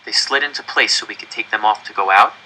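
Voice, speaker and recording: A man reading a first-person story aloud in an expressive, dramatic storytelling style. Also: fairly low pitch, quick pace, a little hiss or hum, poor audio. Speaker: audiobook reader.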